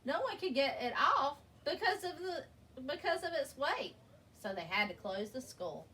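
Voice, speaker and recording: A woman reading a children's picture book aloud in an expressive, deliberate storytelling manner, leaning on key words. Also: high voice. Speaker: story reader. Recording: distant microphone with background noise.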